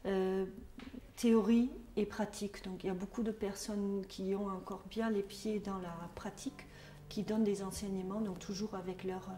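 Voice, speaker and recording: A woman's voice: mid-range voice, flat delivery, moderate pace, dark tone, reverberant. A middle-aged woman from Germany speaking with a German accent, talking hesitantly with many fillers and restarts in a testimonial interview as a trainer. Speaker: trainer at a social work school.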